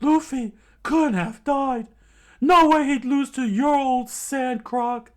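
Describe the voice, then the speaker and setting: A man voicing a scared but stubborn young male pirate who screams his lines in a strained, high yell. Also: fairly even intonation, slow. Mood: defiant shouting.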